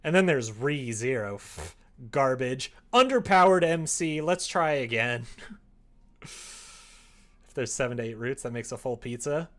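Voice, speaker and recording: A man with a mid-pitched voice chats casually on a livestream while he writes. He thinks out loud, muttering his way through ideas in an informal, unpolished way. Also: lively intonation. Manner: thinks aloud, reads typed prose slowly and haltingly. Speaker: streamer writing a visual novel. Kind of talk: casual livestream chat, murmuring draft lines while writing.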